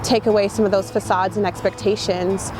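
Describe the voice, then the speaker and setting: A woman's voice: medium pitch, flat delivery, brisk, background noise. A woman answering interview questions in a thoughtful, earnest, reflective way. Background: background music.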